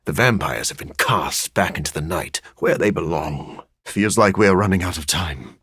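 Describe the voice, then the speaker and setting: A man voicing a brooding, dryly sardonic scientist turned vampire in a video game, speaking formally with a husky edge. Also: low voice, expressive, normal speed, fairly bright tone, no room sound, exaggerated voice. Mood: grave, measured. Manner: formal, learned, dry wit. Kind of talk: video game character voice acting.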